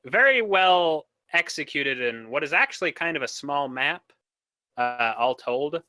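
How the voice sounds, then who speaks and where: A man speaking casually as a podcast panelist discussing video games, in a halting way as he thinks out loud. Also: on the high side, animated.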